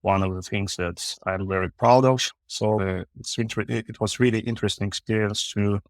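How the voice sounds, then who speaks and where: A man, a company founder answering interview questions haltingly with frequent fillers. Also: fairly low pitch, steady tone, no echo. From Ukraine. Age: 40s. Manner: halting, many uh fillers, non-native phrasing. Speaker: podcast guest, founder.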